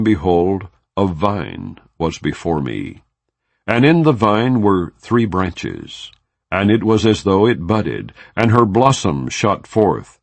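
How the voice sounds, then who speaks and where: A man reads scripture in a very deep voice, slow and formal. His pitch swings widely, lifting and dropping from phrase to phrase. The sound is close and dry. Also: no room sound. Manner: solemn, measured, formal delivery. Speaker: scripture reader. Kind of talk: unhurried scripted scripture reading.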